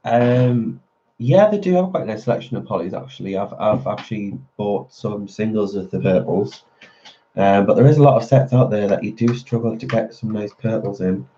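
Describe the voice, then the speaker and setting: A man's voice: lowish voice, moderate pace, dark tone, lo-fi sound. A man hosting a colouring channel, chatting casually with viewers while he colours, a little nasal as he gets over a cold. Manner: chatty, answering viewer comments. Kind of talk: casual live-stream chat while colouring.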